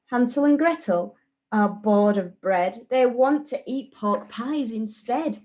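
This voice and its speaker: A woman reads a rhyming tale for young children. Her voice sits fairly high and she goes very slowly, with a deliberate, sing-song lilt like a teacher at story time. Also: a little mellow.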